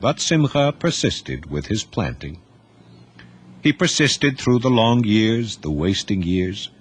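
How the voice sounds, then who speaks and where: A man narrating an audio drama in expressive, scripted storytelling. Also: on the low side, slow, husky.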